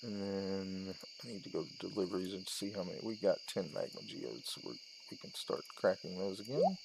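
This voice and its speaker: A man's voice: low voice, brisk, creaky, heavy background noise. A man giving casual let's-play commentary, talking himself through each step in short, trailing phrases. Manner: narrates own steps aloud in short, trailing phrases. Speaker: gaming video host.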